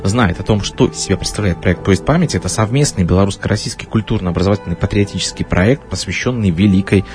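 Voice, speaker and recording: A man from Belarus hosting a studio radio interview, pausing often with filler sounds. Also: low voice, over music. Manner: hesitant, frequent filler sounds. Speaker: radio host.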